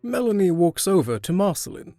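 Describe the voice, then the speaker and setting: A man's voice: sits high, very animated, a little fast, warm tone, dry recording, soft background music. A man reading scripted narration for an audio drama.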